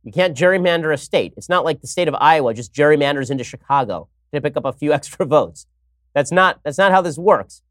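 A man hosting an opinionated political commentary show, talking in a wry, sarcastic way and moving briskly from segment to segment. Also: on the high side, animated, no room sound, studio quality. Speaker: host.

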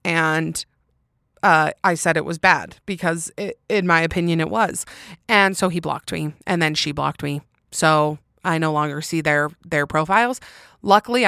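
A woman hosting a podcast, chatting casually and candidly on her own with emphatic delivery and a loud, intense voice. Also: on the low side, no echo, studio quality. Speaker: podcast host. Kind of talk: casual solo chat answering listener questions.

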